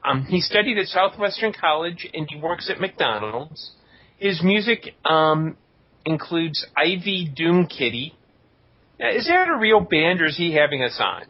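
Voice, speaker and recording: A man with a slightly high voice hosts a podcast, reading shout-outs in a casual, joking style. The recording is a little dull and lo-fi. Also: very animated, a little slow. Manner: joking, tongue-in-cheek. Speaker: podcast host.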